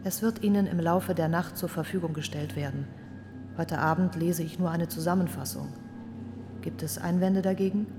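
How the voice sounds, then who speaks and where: An actress playing a solemn courtroom figure in a radio drama, speaking in a measured, restrained way. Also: female voice, on the low side, close to an everyday voice. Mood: formal, restrained. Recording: long reverberant hall, faint music behind.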